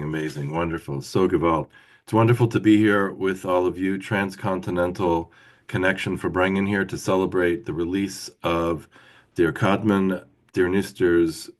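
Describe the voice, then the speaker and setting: A man hosting an online book celebration over a video call, effusive and full of praise. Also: deep voice. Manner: effusive, warm praise with fillers. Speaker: host. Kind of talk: online event introduction.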